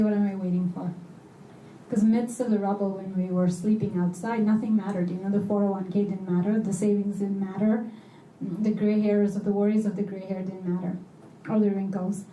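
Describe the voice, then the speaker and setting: A woman's voice: mid-range voice, dark tone. A middle-aged woman talking reflectively and earnestly about herself, repeating phrases for emphasis. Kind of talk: personal reflective talk. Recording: echoey room, distant mic, faint noise.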